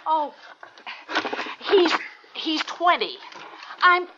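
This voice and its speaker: A woman's voice: very high voice, sing-song, very slow, heavily acted. An actress playing a resentful young wife and mother in a naturalistic drama, exasperated, argumentative and defensive. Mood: indignant. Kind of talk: naturalistic dramatic acting of a marital quarrel. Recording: dull, lo-fi old recording with noise.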